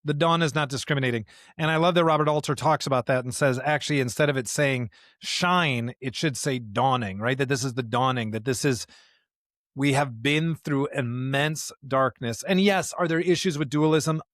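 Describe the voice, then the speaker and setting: A man's voice: medium pitch, normal speed, a bit bright, no echo. Earnest American man, close dry sound. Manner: earnest, reflective, preacherly with rhetorical questions. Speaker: podcast co-host. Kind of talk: conversational discussion of scripture.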